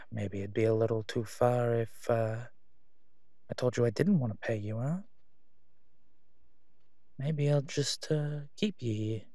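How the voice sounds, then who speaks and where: Casting: male actor as menacing mob boss for audio roleplay, oily, smug, mid-pitched, very dry close sound. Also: darkish tone, dry recording. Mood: quietly threatening. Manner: oily, taunting, drawn-out delivery with stalling pauses. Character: sleazy, menacing Gotham crime boss, a man, smugly haggling. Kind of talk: solo audio roleplay acting.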